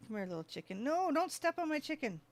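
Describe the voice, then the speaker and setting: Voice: sits high, sing-song, fast. A gaming streamer giving casual gameplay commentary, often talking to the game's animals and to themselves. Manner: talks to game animals and self.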